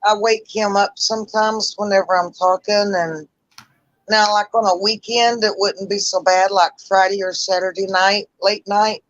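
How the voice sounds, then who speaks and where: A woman chatting casually on a livestream call, talking loudly and rambling. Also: mid-range voice, steady tone, normal speed, dry recording, muffled recording. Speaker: livestream guest.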